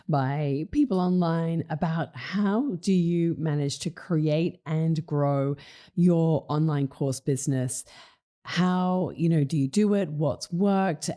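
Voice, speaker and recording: Croaky-voiced woman hosting a podcast. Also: low-pitched, dark tone. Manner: enthusiastic, conversational with many fillers. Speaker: podcast host. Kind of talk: casual solo podcast talk.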